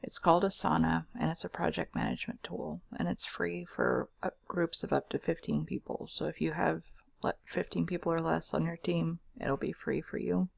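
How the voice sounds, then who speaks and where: A woman talks through a screen demo in a loose, chatty way, with fillers and restarts. Her voice is scratchy and heavily gravelly, with a husky rasp. The sound is close and dry, with no room in it. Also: low voice, steady tone, normal speed, hardly any pauses, no room sound. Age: adult. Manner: chatty and informal, with fillers and self-corrections. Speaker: screen-share software demonstrator. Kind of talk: casual screen recording walkthrough.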